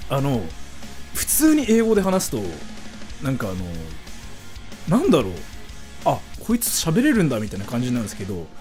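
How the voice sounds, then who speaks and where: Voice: mid-range voice, huge pitch swings, quick pace, long pauses, a little mellow, faint music behind. A native Japanese speaker with a Japanese accent, chatting casually with livestream viewers as the host in a self-deprecating, apologetic way.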